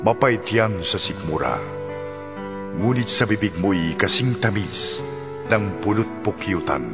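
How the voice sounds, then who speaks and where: A man playing a grave angel in a Bible audio drama, giving instructions calmly and solemnly. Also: fairly low pitch, a little slow, over music. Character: grave angel offering a bittersweet scroll.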